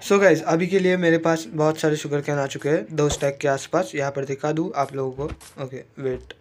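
A man, hoarse from a cold, chatting casually as he narrates his own game play and mixes English words into Hindi. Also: a little high, fairly even intonation, normal speed, a little hiss or hum. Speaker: gaming YouTuber.

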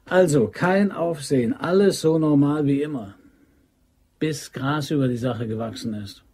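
An actor playing a cocky, scheming amateur robber in a German crime radio drama, speaking in a clipped, cocksure way. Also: male voice, on the high side, unhurried, darkish tone, husky. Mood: cautious, firm.

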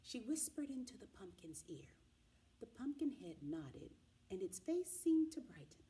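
A middle-aged woman reading a children's picture book aloud in an expressive, dramatic way, drawing out the sound words. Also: a little high, measured pace, slight background noise. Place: small indoor room with wall cards and pillows.

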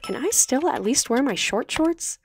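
A woman's voice: high-pitched, expressive, moderate pace, gravelly, soft background music, pitched above the performer's own voice. A woman voicing a bold, sarcastic teenage schoolgirl with an emphatic, sassy delivery for an audiobook. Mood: eager. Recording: slightly noisy home recording.

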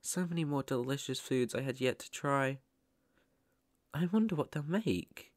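A young British man voicing a shy, lonely but eager ghost in an ASMR roleplay, stammering, gentle and apologetic. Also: mid-range voice, measured pace, dry recording, studio quality, close to an everyday voice. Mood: wistful, soft.

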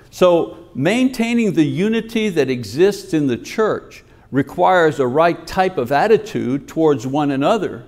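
A man's voice: on the high side, darkish tone. Older man preaching at a pulpit. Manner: earnest teaching delivery, reads scripture aloud. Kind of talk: sermon. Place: church sanctuary, at a lectern. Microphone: podium microphone.

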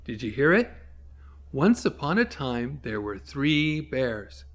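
A man in his fifties teaching from the Bible to camera in an emphatic, explanatory preaching style, with dramatic pauses. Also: mid-range voice, big pitch swings.

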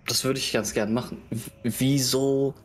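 A man playing a tabletop role-playing game, describing his character's moves in a hesitant, halting way. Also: mid-range voice, steady tone, a little hiss or hum. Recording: faint music behind the voice. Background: faint music.

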